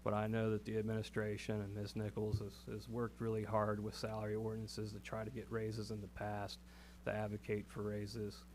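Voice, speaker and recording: Low, flat-voiced man at a council meeting. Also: a little hiss or hum, poor audio. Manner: earnest, folksy, unhurried with fillers. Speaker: council member.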